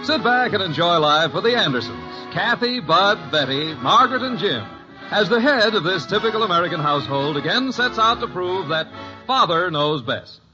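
A man's voice: sits high, very animated, normal speed. A man announcing and narrating a 1950s radio sitcom from a script. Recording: dull vintage radio broadcast. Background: music.

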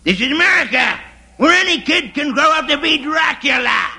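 A man's voice: very high-pitched, expressive, normal speed, vocal fry, exaggerated voice. An actor playing a scolding, folksy werewolf father in a 1950s radio comedy. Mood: indignant. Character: gruff, scolding old-fashioned werewolf father. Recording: noisy 1950s radio recording.

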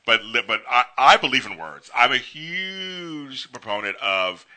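A man's voice: mid-range voice, big pitch swings, a little slow, pushed and bright. A man hosting a casual interview, chatty and upbeat, jumping between thoughts, with a dry throat. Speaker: podcast host.

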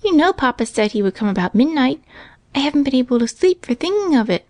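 A storybook little girl, played by a woman reader, pitched well up above an adult voice. She is eager and sweetly bold, always coaxing, in a close, dry sound. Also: very animated, fast talker, a little mellow, no echo. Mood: excited coaxing. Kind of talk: storybook character voice.

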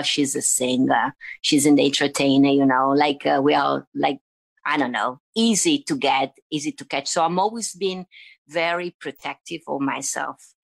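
A woman from Italy with an Italian accent, telling stories as an interview guest in a chatty, animated way with frequent restarts. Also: low voice, creaky. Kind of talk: casual conversational storytelling.

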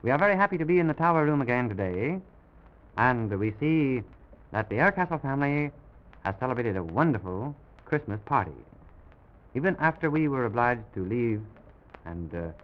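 A man hosting an old-time children's radio show, speaking gently and simply to small children as he tells a make-believe story. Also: medium pitch, very animated, normal speed. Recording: dull old radio recording with music.

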